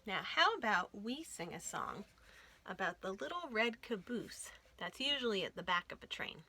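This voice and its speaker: A woman hosting a children's storytime, reading aloud with an expressive, deliberate delivery for young listeners. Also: medium pitch. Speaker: children's librarian and storytime host.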